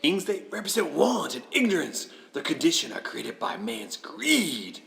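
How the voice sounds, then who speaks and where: A man's voice: a little high, sing-song, measured pace, gravelly, fairly bright tone, voice pushed up, full character voice. A man voicing a jovial, boastful giant Christmas ghost who declaims and slips into slang, in a storybook drama. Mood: chiding. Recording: long hall reverb.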